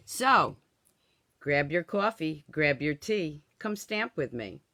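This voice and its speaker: A woman in her sixties leads a friendly live stamping demonstration at her craft table. Her voice is a little hoarse from a cold, and her delivery is chatty and easygoing. The sound is dry and close, with no room echo. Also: sits low, lively intonation, very slow, sharp tone. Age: 60s. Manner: chatty, tags instructions with "okay?". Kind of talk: live-streamed craft tutorial.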